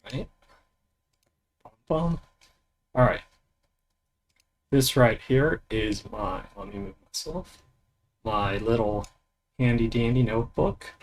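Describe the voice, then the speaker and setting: A young man at a home mic, talking in an easygoing, rambling way with lots of ums and restarts. His voice sits at an ordinary middle pitch. A faint hiss of room noise sits under it. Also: rough recording. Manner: rambling, halting with many fillers and restarts; laid-back, swears casually. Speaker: VTuber streamer. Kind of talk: casual self-introduction stream.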